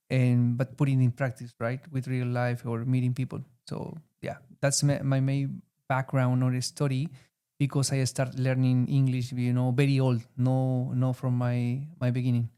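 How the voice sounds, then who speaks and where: Chilean man, smooth and mellow voice. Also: medium pitch. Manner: halting, searching for words with frequent fillers. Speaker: guest, former student. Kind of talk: interview answers.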